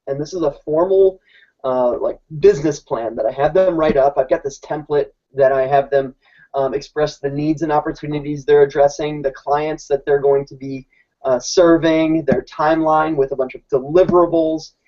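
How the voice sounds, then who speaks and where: Mellow-voiced man teaching, lo-fi sound. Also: fairly high pitch, steady tone, normal speed. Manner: informal, explanatory, with many restarts. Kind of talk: casual presentation. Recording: dull lo-fi recording.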